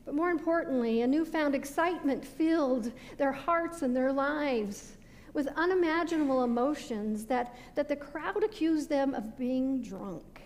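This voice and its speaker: A middle-aged American woman, a pastor, giving a sermon with measured, deliberate phrasing that falls away at sentence ends. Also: sits high, darkish tone. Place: indoor stone-walled church sanctuary at a pulpit. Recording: reverberant church hall with background noise.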